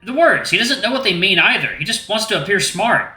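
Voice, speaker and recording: A man's voice: high voice, animated, a little fast, bright, forceful tone, voice pushed up, exaggerated voice. A man voicing a young male student who explains things in a matter-of-fact way. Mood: dry. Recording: echoey room, faint music.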